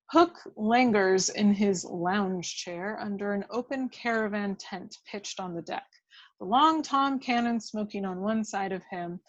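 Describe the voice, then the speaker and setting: A woman reading stage directions as scripted narration at a table read of a film script. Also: medium pitch.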